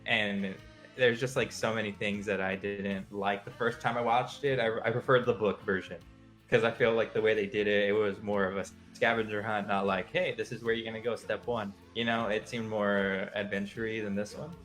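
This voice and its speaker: A man's voice: on the low side, flat delivery, moderate pace, fairly bright tone, faint music behind. A man co-hosting a live stream, chatting in an informal, conversational way. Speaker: co-host. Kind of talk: casual chat on a live stream.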